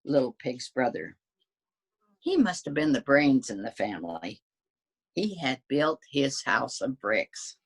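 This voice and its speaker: Woman as a low, husky, wily storybook wolf. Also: exaggerated voice. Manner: folksy, self-justifying storytelling. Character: wily male wolf pleading his innocence. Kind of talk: storybook character narration.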